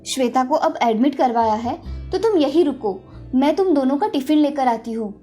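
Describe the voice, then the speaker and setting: High-voiced, kind married village woman, voiced by a narrator. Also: lively intonation, moderate pace, dark tone, soft background music. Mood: caring.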